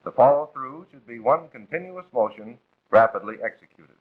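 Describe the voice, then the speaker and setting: Narrow phone-like old film soundtrack, American man, husky voice, formal instructional narration, 1940s style. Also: medium pitch, expressive, moderate pace.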